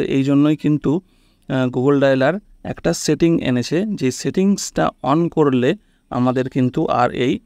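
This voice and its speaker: A man's voice: medium pitch, creaky, darkish tone, no room sound, studio quality. A man hosts a casual step-by-step phone tutorial, instructing and often checking that the listener follows. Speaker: tech tutorial host.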